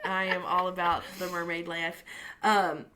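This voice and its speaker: A woman's voice: fairly low pitch, level tone, unhurried, a crowd in the background, rough recording. A woman co-hosting a casual book podcast, talking with gushing enthusiasm and plenty of "um"s. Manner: gushing and chatty, with many fillers. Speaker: podcast co-host. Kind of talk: casual chat.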